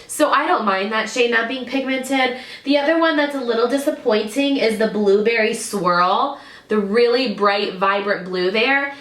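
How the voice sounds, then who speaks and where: A woman in her thirties gives a chatty beauty review to camera at home, her voice slightly nasal while she gets over a cold. Her tone is bright and forward, sitting a little high, and she doubles up words for emphasis. The room around her has a noticeable echo. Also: normal speed. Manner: chatty, enthusiastic, stresses words by repeating them. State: recovering from illness. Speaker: beauty YouTuber. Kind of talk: casual product review to camera. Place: home room with drawer units, indoors. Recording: echoey room.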